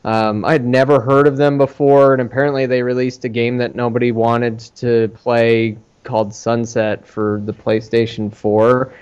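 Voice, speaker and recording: A man hosting a casual podcast chat about games and movies, speaking in halting, hesitant phrases. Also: mid-range voice, level tone, a little mellow, clean tone, lo-fi sound. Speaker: podcast host.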